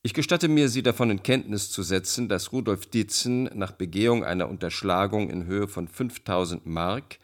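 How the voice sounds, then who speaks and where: Low-pitched man narrating a radio play. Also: normal speed, hardly any pauses. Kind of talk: scripted documentary narration, reading official reports.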